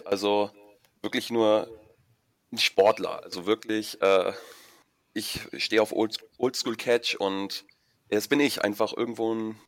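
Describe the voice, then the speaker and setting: A man being interviewed over a remote call, answering casually in halting sentences that often restart. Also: on the low side, dry recording. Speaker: interview guest, wrestler.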